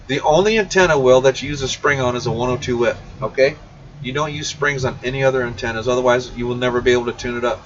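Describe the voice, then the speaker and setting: A man's voice: mid-range voice, fast. Blunt man on a distant, dull mic. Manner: blunt, informal, addresses viewers by name, mild swearing. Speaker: CB radio shop livestream host. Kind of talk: casual livestream Q&A, reading viewer questions aloud. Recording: dull, distant mic, faint background noise.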